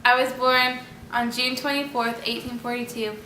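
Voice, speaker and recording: A woman's voice: on the high side, little pitch movement, normal speed, pushed and bright, roomy sound, a little hiss or hum, rough recording. A young woman playing a male satirist author on a school mock talk show, matter-of-fact.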